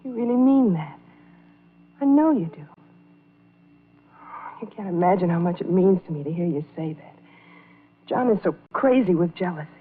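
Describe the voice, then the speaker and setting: A woman's voice: lowish voice, animated, moderate pace, pauses often, slightly rough. A woman acting an unhappy, pleading wife with a melodramatic manner in an old-time radio drama. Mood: miserable, dramatic. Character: unhappy, pleading young wife confiding in a man. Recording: muffled old radio recording.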